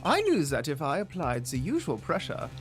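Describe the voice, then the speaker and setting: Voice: mid-range voice, wildly swooping pitch, moderate pace, voice pushed down. A gamer voicing a cocky young rock-star prosecutor with a swaggering, hugely swooping delivery over game music. He sounds sure of himself and glides through each line. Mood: smug. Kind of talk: reading game dialogue aloud.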